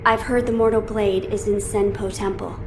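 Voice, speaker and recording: Actress as a young game lordling, earnest and courteous. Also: female voice, mid-range voice, flat delivery, moderate pace, background noise, close to an everyday voice. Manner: polite and earnest. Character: earnest young boy lord. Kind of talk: video game cutscene acting.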